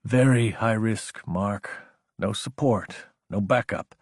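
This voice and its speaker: Man playing earnest officer, slightly husky. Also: fairly low pitch, takes it slowly, no room sound, clean studio sound, full character voice. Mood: grave. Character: earnest, steady young military officer recruiting his friend.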